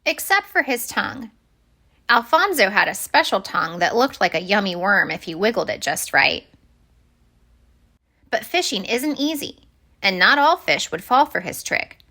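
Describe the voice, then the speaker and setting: Bright, animated woman storyteller. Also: medium pitch, moderate pace. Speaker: story-time reader. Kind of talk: expressive children's picture-book narration.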